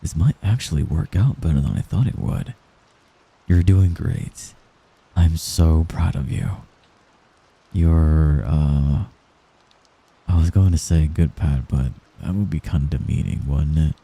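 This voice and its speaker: A man playing a young mafia heir in an intimate ASMR roleplay, a gentle, hesitant and possessive friend who gives orders apologetically. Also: extremely low pitch, lively intonation, gravelly, breathy edge, heavily acted. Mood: tender, encouraging. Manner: soft-spoken, halting, apologetic while giving orders.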